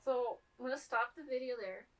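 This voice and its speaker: A woman's voice: a little high, a little fast. A young woman co-hosting a casual chat, telling stories in a rambling way with lots of fillers. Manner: rambling, full of fillers and laughs. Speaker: co-host. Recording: distant mic with piano music behind.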